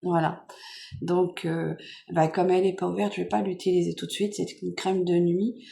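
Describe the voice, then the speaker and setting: Casting note: French woman vlogger, hoarse and stuffy-nosed, low gravelly voice, soft and meandering, faint room noise. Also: flat delivery, moderate pace. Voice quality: nasal. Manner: soft-spoken, meandering with many fillers. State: hoarse from a cold. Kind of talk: casual chat unboxing makeup gifts. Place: home.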